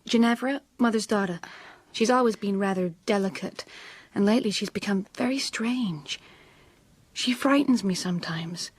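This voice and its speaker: A woman's voice: medium pitch, normal speed, breathy edge, no echo, lightly acted. An actress playing a timid, oppressed woman of twenty-three, hesitant and submissive, in a radio drama. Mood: anxious, confiding. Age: 20s.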